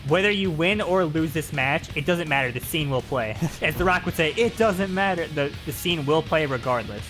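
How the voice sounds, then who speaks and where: A man's voice: fairly high pitch, moderate pace, fairly bright tone, faint music behind. A man giving casual, jokey live commentary as the host of a gaming video.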